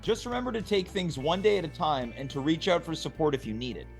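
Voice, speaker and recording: A man reading as an encouraging rehab doctor, high-pitched. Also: lively intonation, fast talker, vocal fry, faint music behind. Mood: reassuring. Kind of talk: amateur table read.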